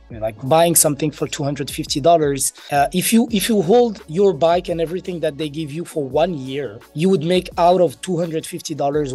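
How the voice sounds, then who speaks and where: Higher-pitched man telling stories. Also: warm tone, breathy edge. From Marrakesh, Morocco. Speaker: interview guest, crypto entrepreneur. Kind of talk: casual conversational storytelling.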